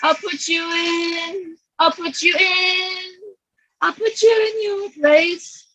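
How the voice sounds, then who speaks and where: A woman's voice: very high-pitched, fairly even intonation, slow, pushed and bright, no echo, exaggerated voice, rough recording. A woman playing a brash, bullying daughter of the war god in a musical theatre table read, taunting and aggressive. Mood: gloating, singing.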